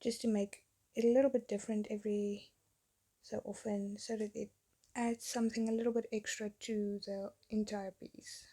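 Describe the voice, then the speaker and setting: Gravelly, mellow-voiced woman narrating her painting. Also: medium pitch, little pitch movement, normal speed. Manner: rambling, chatty, self-deprecating. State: losing her voice, getting sick. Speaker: artist narrating a painting video. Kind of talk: casual voice-over narration. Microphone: phone.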